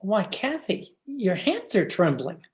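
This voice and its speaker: An actor playing a gentle, adoring young gentleman in a radio drama, tender, earnest and reassuring. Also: medium pitch, very animated, takes it slowly. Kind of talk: old-time radio drama acting.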